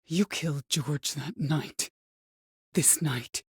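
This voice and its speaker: An actress playing a vengeful, bitter middle-aged mother in a video game, commanding and threatening, with deliberate delivery and cold control. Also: deep voice, a little mellow, husky, dry recording, studio quality, heavily acted. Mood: bitter, accusing. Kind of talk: dramatic video game voice acting.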